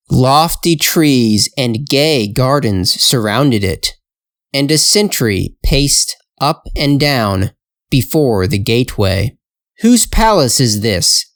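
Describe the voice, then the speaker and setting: A man narrating a scripted fairytale for language learners, with careful, measured phrasing. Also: mid-range voice, no room sound, studio quality. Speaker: storyteller.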